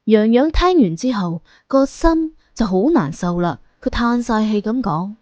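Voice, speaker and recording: A woman's voice: fairly high pitch, lively intonation, unhurried, darkish tone, dry recording, dull audio. A woman narrating an audiobook in a colloquial storytelling style.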